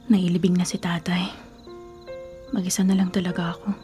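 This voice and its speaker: A woman playing a grieving young college student and devoted girlfriend in a Filipino radio drama, speaking softly and emotionally, at times in whispers. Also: lowish voice, level tone, moderate pace, soft background music, close to an everyday voice. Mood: grieving, tearful. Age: young adult. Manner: soft, emotional, breaking into whispers.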